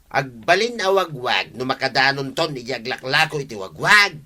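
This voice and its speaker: Sharp, defiant village man. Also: medium pitch, sing-song, normal speed. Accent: Ilocano. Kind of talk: comedy radio drama acting.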